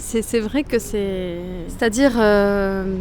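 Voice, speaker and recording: A woman from Lebanon, a comics author, gives thoughtful interview answers and often pauses to search for words. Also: mid-range voice, a little mellow. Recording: noisy outdoor street ambience. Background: street ambience and passing vehicles.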